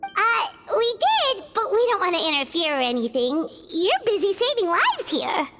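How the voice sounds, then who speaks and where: A very high, chirpy little fairy companion, excitable and blunt, her voice bouncing all over. Cartoonish game dialogue over music. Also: normal speed, full character voice. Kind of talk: game voice acting.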